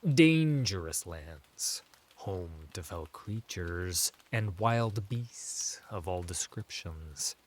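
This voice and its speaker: A man with a fairly low voice reads a fantasy tale. His scripted narration is very slow and measured, set off by dramatic pauses. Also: animated.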